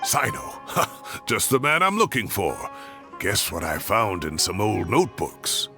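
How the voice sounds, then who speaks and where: A man's voice: lowish voice, very animated, measured pace, music in the background. An actor in a video game playing an old male professor, fond and wry, who teases and rambles in a playful, wistful way. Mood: fond, nostalgic. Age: older. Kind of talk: English video game voice acting.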